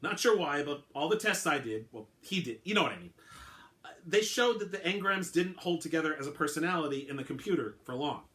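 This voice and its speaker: Figure: a man doing a nervous middle-aged clone henchman. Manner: earnest, rattled, tripping over his own words. Pitch: raised and swinging widely. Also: animated, a little fast, sharp tone. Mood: confessing. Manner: earnest, rattled, keeps correcting himself. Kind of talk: dramatic reading of a short story.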